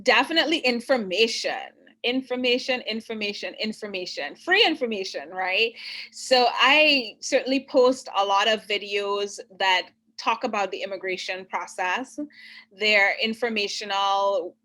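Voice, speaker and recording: A woman from Trinidad with a bright, forceful voice answers interview questions as an immigration attorney. She explains step by step and keeps checking that the listener is following. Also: medium pitch. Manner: clear, explanatory, often pauses to check understanding.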